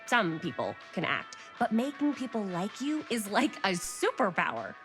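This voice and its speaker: An actress playing a wry, driven young amateur filmmaker with an American accent in a video game, teasing and emphatic. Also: female voice, mid-range voice, huge pitch swings, normal speed, fairly bright tone, soft background music. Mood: playful, coaxing. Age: young adult. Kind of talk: naturalistic video game acting.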